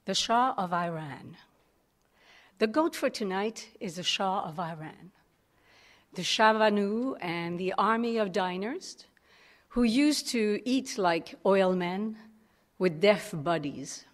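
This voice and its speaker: A woman's voice: medium pitch, animated, slow pace. A woman speaking and reciting a poem informally at a live tribute event.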